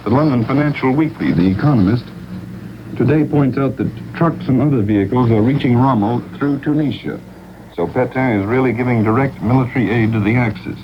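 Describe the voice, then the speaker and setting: Through a noisy, dull 1940s shortwave broadcast comes a man with a medium-pitched voice. He reads a scripted wartime news report as a radio correspondent. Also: brisk.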